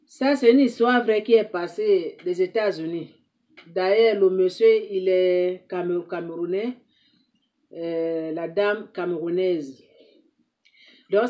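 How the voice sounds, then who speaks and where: A woman's voice: mid-range voice, warm tone. A woman hosting an advice video, lecturing her viewers in an emphatic, scolding way and repeating herself for effect. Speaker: advice-giving host. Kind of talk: moralizing monologue to viewers.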